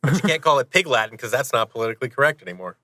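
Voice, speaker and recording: A man's voice: mid-range voice, sing-song, very fast, sharp tone, no room sound. A man talking casually as a podcast guest, joking with a straight face. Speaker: guest, audio series creator. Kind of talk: casual podcast interview chat.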